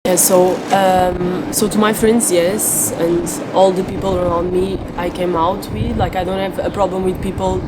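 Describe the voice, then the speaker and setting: Low soft-spoken young woman. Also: moderate pace, few pauses. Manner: relaxed, many "like" fillers, soft-spoken. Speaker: interviewee. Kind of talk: candid personal testimony. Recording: loud background noise, air conditioning hum.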